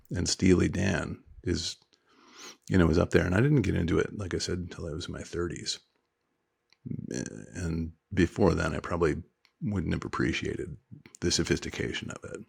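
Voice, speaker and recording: Deep gravelly man explaining at length. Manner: measured, explanatory, reflective. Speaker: podcast co-host. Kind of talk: casual chat.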